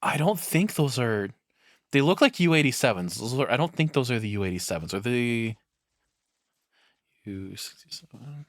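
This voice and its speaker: A man's voice: medium pitch, animated, normal speed, long pauses, no echo, studio quality. A man giving casual reaction commentary as a streamer, rambling and opinionated, with some swearing.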